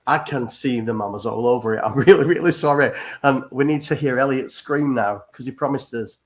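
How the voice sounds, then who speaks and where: A man's voice: medium pitch, brisk. A man on a fan panel, teasing a co-host and laughing, with many hesitations. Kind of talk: casual panel chat.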